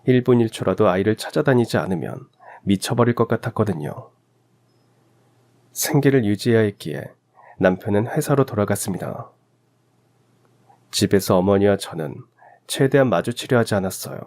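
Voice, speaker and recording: A man's voice: fairly low pitch, expressive, normal speed, pauses often, darkish tone. A man reading a scripted first-person story as a radio narrator.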